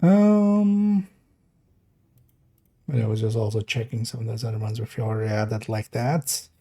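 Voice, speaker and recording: A young man from the Netherlands talks casually on a live stream, thinking aloud as he works. His voice is gravelly and mellow, with a dark tone. He wanders from point to point in a loose, unhurried way. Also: on the low side. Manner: thinking aloud, relaxed and meandering.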